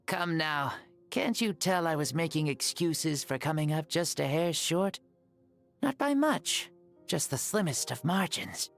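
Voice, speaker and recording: Proud, boyish ancient warrior lord. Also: male voice, on the high side, animated, slow pace, gravelly, soft background music, exaggerated voice. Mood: playful. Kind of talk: game cutscene voice acting.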